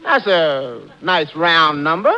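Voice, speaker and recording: A droll tavern worker in an old radio comedy, with a high, forward, bright voice. He cracks dry, deadpan jokes, on a muffled 1940s recording. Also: takes it slowly, full character voice. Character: dry, droll tavern employee, wry underdog man.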